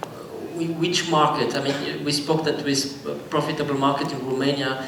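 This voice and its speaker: A man moderating a conference panel who puts brisk, prompting questions to the panelists. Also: a little high, steady tone, noisy background. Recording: roomy hall, distant mic.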